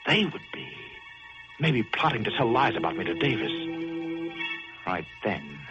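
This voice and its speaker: An actor playing a guilt-haunted, paranoid shipwreck survivor in intense, melodramatic old-time radio suspense. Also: male voice, fairly low pitch, sing-song, unhurried. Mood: paranoid, resolved. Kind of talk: old-time radio suspense acting, first-person narration. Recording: muffled vintage radio recording with music.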